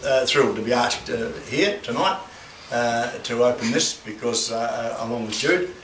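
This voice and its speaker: An older man opens an exhibition with a rambling speech full of stumbles and crude asides. He is heard from a distance in an echoey room, with clear noise behind him. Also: medium pitch, fairly bright tone. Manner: halting, full of restarts, bawdy asides. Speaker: guest speaker opening an art exhibition. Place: gallery with a crowd. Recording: echoey room, far from the mic, noisy.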